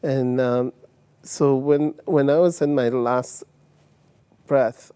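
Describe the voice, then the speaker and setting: A man in his sixties from Uganda and later England, telling his personal story as an interview guest in a halting way, often repeating words. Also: mid-range voice, steady tone, warm tone, clean tone. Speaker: testimony guest.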